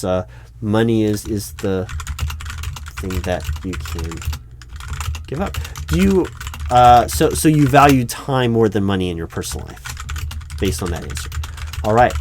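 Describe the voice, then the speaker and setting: A man playing a polite, awkward corporate recruiting manager in a one-sided interview roleplay, speaking out loud with a hesitant, stammering, formal manner. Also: fairly low pitch, moderate pace, close to an everyday voice. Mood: thoughtful, approving. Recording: steady background noise, keyboard typing. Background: computer keyboard typing.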